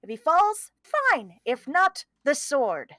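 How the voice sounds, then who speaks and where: Casting: woman playing scheming bully mastermind for fairy-tale table read, shrill-high, wildly swooping delivery, imperious. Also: huge pitch swings, slow pace, pushed and bright, dry recording, studio quality, full character voice. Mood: curt, commanding. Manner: imperious, exclamatory, scolding. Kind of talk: live table read of a film script.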